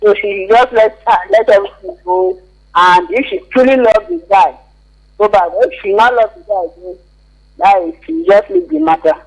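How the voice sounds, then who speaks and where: A Nigerian man speaks over the telephone to a radio show. His voice is notably high for a man. He gives his opinion informally, hesitating and repeating himself as he goes. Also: sing-song, moderate pace, poor audio. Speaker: phone-in caller. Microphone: telephone call to a radio show.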